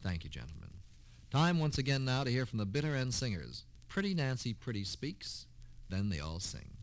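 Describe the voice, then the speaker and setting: A man's voice: mid-range voice, sing-song, moderate pace. A man hosting a 1960s public service radio programme with a polished, scripted delivery. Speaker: radio host.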